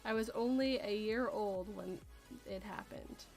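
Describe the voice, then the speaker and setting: A woman's voice: mid-range voice, a little slow, darkish tone, soft background music. A Canadian woman in her thirties hosting a casual chat show, talking loosely and laughing as she reads out chat messages. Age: 30s. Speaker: podcast host reading chat.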